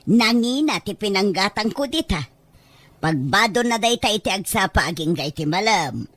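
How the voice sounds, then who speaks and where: A woman's voice: medium pitch, expressive, moderate pace, a bit bright, no room sound. A woman playing a stubborn, doting, plaintive grandmother with an Ilocano Filipino accent in an amateur audio drama. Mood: proud.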